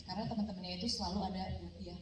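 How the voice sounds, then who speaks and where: A woman's voice: lowish voice, fairly even intonation, very fast, a little mellow. A woman actress describing a character in a thoughtful way, mixing English words into her Indonesian. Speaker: actress at a press conference. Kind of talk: answering press questions. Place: event hall. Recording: echoey hall recording from a distance, noisy.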